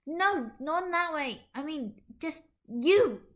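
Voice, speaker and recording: A woman voicing a flustered, feisty teenage girl who stammers and squeaks in a fan fiction reading. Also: expressive, slow, fairly bright tone, exaggerated voice. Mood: embarrassed. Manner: stammering, squeaky when startled.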